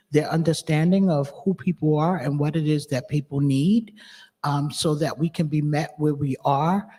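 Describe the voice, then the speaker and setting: A woman speaks on a panel in a low, husky voice. Her delivery is direct and plain-spoken, with firm emphasis. A big hall's reverb trails her words. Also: a little mellow. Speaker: panelist, activist. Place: large auditorium stage. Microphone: handheld microphone. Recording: reverberant hall sound.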